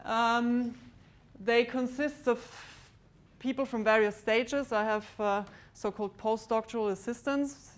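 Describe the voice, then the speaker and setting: A woman giving a maths lecture to students in a large lecture theatre, pausing often with ums and restarts. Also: fairly high pitch. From Austria. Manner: hesitant, many ums and restarts, walks about with a pointer. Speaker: mathematics lecturer.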